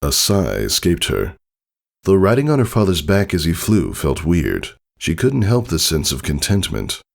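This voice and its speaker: A man's voice: deep voice, wildly swooping pitch, measured pace, vocal fry. A man reading a scripted story aloud as its narrator. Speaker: fan fiction reader, narrator.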